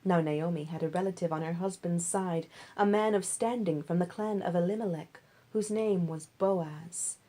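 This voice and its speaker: A woman reading a Bible story aloud as scripted narration, in measured, deliberate phrases. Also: on the low side, dark tone. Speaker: reader.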